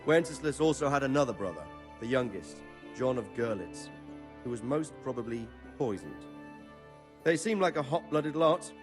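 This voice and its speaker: An actor playing a wary, fatherly middle-aged medieval nobleman in a game cutscene, speaking in a measured, earnest way. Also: medium pitch, big pitch swings, music in the background, natural voice. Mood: explaining. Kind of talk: video game cutscene acting.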